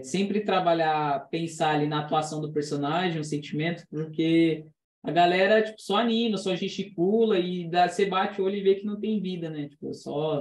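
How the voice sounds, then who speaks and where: Young Brazilian man, slightly high voice. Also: darkish tone. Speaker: student turned working animator. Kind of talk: casual chat.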